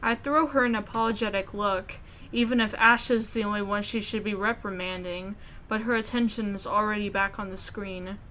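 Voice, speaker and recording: A woman reading scripted story narration aloud in an expressive way. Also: medium pitch, normal speed, a little hiss or hum. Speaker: video game player reading narration aloud. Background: music.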